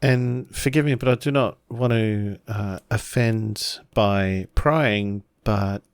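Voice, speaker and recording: Tabletop game: apologetic samurai, acted by a man, very unhurried, hesitant, dry close sound. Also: on the low side, clear, resonant tone, dry recording. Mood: uneasy, polite. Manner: hesitant, halting. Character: awkward, apologetic, courteous samurai retainer.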